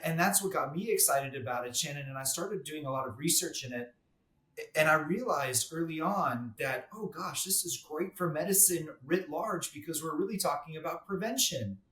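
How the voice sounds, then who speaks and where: A man's voice: a little high, a bit bright. Man explaining medicine in a roomy echo. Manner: explanatory, repeats words when restarting. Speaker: guest dermatologist. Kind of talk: podcast interview.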